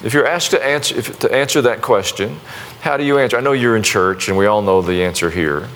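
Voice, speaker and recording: Folksy pastor with restarts. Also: male voice, lowish voice, a little fast, fairly bright tone, slight background noise. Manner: conversational, folksy, self-deprecating with many restarts. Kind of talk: sermon and prayer to a congregation.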